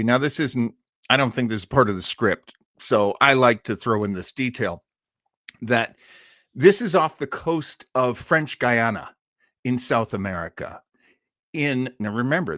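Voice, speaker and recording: A man's voice: medium pitch, fairly bright tone, no echo. Chatty man host with dry close sound. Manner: chatty, enthusiastic about old radio stories. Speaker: host of an old-time radio show stream. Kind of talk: casual, rambling introduction to a radio drama.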